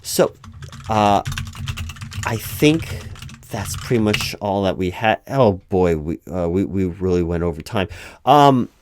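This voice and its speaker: A man playing a weary, politely insistent recruiting manager in a one-sided interview roleplay, over-explaining in a halting, repetitive way at normal speaking volume. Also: low voice, expressive, noisy background. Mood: patient advising, then apologetic, firm. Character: exasperated male recruiting manager, polite but increasingly weary. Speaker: job interviewer.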